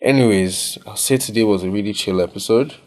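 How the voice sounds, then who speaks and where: Young Nigerian man, low voice, studio podcast co-host, easygoing and reflective, tags his points with "right?". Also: a little fast, a little mellow. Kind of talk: casual podcast chat. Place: recording studio.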